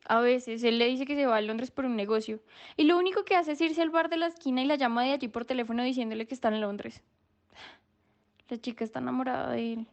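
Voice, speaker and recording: A woman's voice: high-pitched, fairly even intonation, fast talker, lo-fi sound. An actress playing a troubled young woman, confiding a story in a gossipy way for a radio drama. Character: troubled young woman confiding about her vagrant brother. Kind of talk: radio drama narrative monologue.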